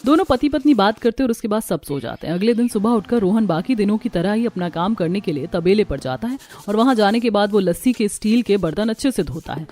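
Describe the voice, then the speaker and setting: A woman's voice: mid-range voice, lively intonation, a little fast, few pauses, warm tone. A woman reading a scripted story as narrator. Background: music.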